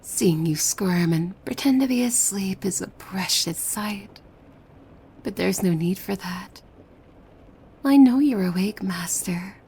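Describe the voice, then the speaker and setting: A woman playing a possessive, devoted demon maid in an ASMR roleplay, coy and formal, with a soft breathy voice that often slips into whispers. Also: medium pitch, takes it slowly, heavily acted. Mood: coaxing, knowing. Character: possessive, devoted young female demon maid, sweetly menacing. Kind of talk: ASMR roleplay, scripted monologue to the listener.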